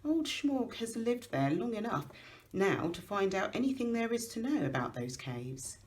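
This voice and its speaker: Storybook dwarf chief, male, acted by a woman: proud, gruff, sceptical, pompous, with lively intonation. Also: mid-range voice, normal speed, a little hiss or hum, pitched above the performer's own voice, close to an everyday voice.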